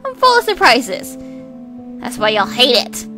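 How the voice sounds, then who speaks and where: A woman voicing a crude, grumpy male twin with a soft side in an amateur comic dub, sarcastic and hammy. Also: sits high, huge pitch swings, unhurried, faint music behind, full character voice. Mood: defensive, irritated. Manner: sarcastic, blunt, sweary, hammy outbursts.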